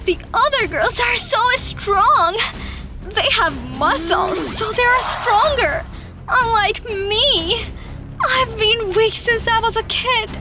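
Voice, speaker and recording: A woman voicing a boastful, dismissive, self-absorbed teenage girl in an animated story, turning whiny when playing helpless. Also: extremely high pitch, takes it slowly, over loud music, voice pushed up. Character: bratty, self-absorbed teenage pick-me girl, feigning sweetness.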